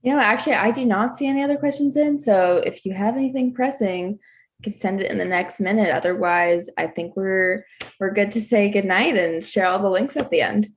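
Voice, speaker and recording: A woman's voice: mid-range voice, fairly quick. A woman helping to run an online event, speaking informally to the audience. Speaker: event moderator's helper reading chat.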